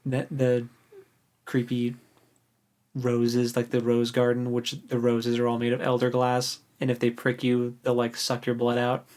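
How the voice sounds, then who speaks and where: A co-host on a book podcast, speaking at a middling pitch. The talk is casual and loose, with slangy, informal recapping as in a relaxed discussion between readers. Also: unhurried.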